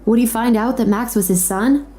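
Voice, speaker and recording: A woman's voice: a little high, moderate pace, dark tone, a little hiss or hum. A woman narrating a dramatic scripted story.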